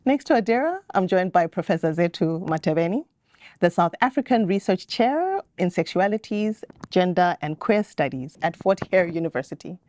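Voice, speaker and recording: Female panel moderator, measured delivery, slightly low pitch, dry sound. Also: very animated, darkish tone, no room sound. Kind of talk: panel introductions. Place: conference venue.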